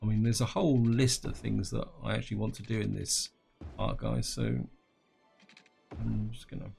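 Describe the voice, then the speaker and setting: A man with a slightly croaky throat giving casual gameplay commentary, thinking aloud and talking to his viewers. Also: lowish voice, steady tone, moderate pace, darkish tone, soft background music. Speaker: gaming channel host.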